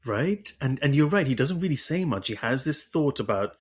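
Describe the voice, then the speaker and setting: A man hosting a podcast, talking through a book club discussion with animated enthusiasm and frequent restarts. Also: medium pitch, moderate pace, husky. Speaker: podcast host.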